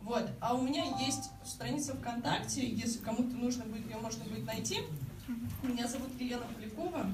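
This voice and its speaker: A woman's voice: on the high side, fairly quick. A woman in her twenties, speaking as a campaign office coordinator to a public meeting, earnest and hesitant with restarts. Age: 20s. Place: crowded meeting room indoors. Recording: echoey room, mic far off, noisy.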